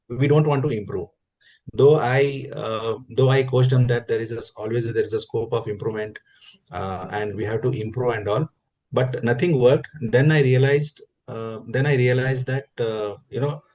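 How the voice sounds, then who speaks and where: A man's voice: mid-range voice, normal speed, a little mellow. Hesitant man asking a webinar question. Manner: hesitant, many "uh" and "um" fillers.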